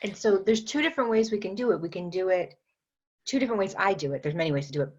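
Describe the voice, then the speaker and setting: A woman guest on a podcast interview, explaining her energy work in an unhurried way, with many fillers and restarts. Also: mid-range voice, animated, highs cut off. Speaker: guest, metaphysician and energy trainer.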